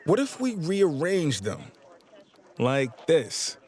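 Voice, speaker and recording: A man's voice: fairly high pitch, sing-song, takes it slowly. A man playing an earnest, eager young police officer in a video game, urgent and clipped in his speech. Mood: curious. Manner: urgent, clipped radio talk. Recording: loud music behind the voice.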